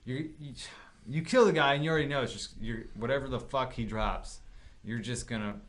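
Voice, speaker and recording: A man's voice: medium pitch, a little fast, a bit bright. A man co-hosting a gaming podcast, chatting casually with blunt opinions and plenty of swearing. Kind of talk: casual chat about video games.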